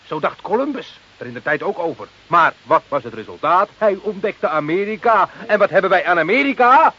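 A man's voice: high voice, big pitch swings, a little fast, bright, forceful tone, full character voice. An actor playing a defiant, scheming working man in a radio play, boastful, mocking and emphatic. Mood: defiant, excited. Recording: muffled, hissy old radio recording.

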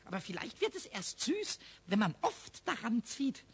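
Casting: cheeky childlike kobold, very husky yet shrill, excitable stammer, animated delivery, 1970s children's radio play. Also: male voice, normal speed, heavily acted. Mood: curious, scheming. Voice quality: shrill, squeaky, raspy. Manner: stammering, repeating words excitedly. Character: cheeky, whiny little male kobold, childlike.

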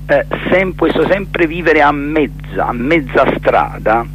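A man giving a reflective radio interview as a theatre director, halting and emphatic, repeating words as he searches for terms. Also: a little high, animated, lo-fi sound, a little hiss or hum.

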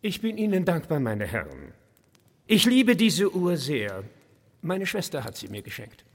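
An actor playing a haughty English lord in a radio play, formally polite and condescending. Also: male voice, a little high, wildly swooping pitch, unhurried, slightly rough, heavily acted. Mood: stiffly courteous. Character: haughty, indignant English lord, stiffly courteous. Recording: long reverberant hall echo.

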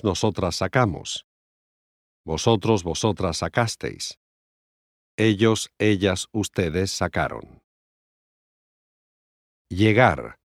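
A man reading scripted language lesson examples with careful, deliberate enunciation, as in a conjugation drill. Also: on the low side, no echo, clean studio sound.

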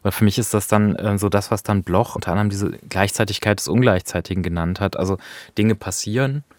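A man's voice: lowish voice, fairly quick, no echo. A man co-hosting a radio talk, explaining things conversationally and often rephrasing as he goes. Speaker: co-host. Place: at home on a sofa, dry sound.

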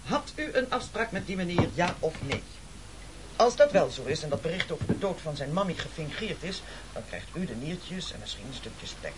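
Through clear noise and clicks comes a pompous castaway boss in a radio play. He bosses and accuses in formal, oratorical phrases, with lively swings of pitch. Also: normal speed. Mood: pompous threatening. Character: domineering, pompous male castaway leader plotting cannibalism. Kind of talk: absurdist radio play acting. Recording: noisy, clicky old radio recording.

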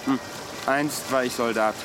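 An actor playing a world-weary immortal former soldier in a radio drama, speaking with dry courtesy and archaic wording. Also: male voice, mid-range voice, fairly even intonation, unhurried, noisy background, close to an everyday voice. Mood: matter-of-fact. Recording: music and watery ambience behind voice.

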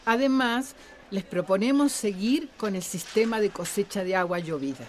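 A woman's voice: medium pitch, normal speed, close to an everyday voice. A woman playing an upbeat, level-headed community organizer in a radio drama. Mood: measured.

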